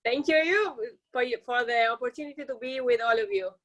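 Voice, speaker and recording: A woman's voice: on the high side, brisk, a bit bright. A woman from Argentina in business development, introducing herself politely and hesitantly on a video call.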